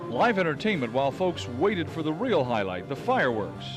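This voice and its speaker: A man's voice: on the high side, big pitch swings, normal speed, music in the background. A man narrating a light news feature in a playful delivery. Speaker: news reporter.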